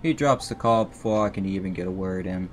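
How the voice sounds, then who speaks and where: A man streams a game, reading its text aloud in a fairly low voice. He is informal and drops offhand remarks. Loud music with guitar runs behind him. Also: brisk.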